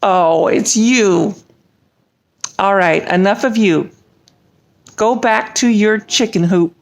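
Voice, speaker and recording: A woman storyteller talking in an animated way to young children during a bedtime storytime with puppet characters. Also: medium pitch, slow.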